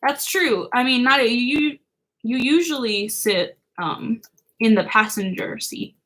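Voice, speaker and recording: Young woman, livestream host, casual chat, giggly, filler-heavy, slightly high-pitched. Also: a little slow, a bit bright, low-quality recording. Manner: giggly, chatty, lots of "like". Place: home, indoors.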